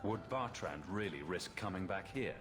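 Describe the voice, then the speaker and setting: A man's voice: deep voice, expressive, normal speed, music in the background. An actor playing a sardonic, self-assured male adventurer hero in video game dialogue, with a wry way of talking. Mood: dry, teasing.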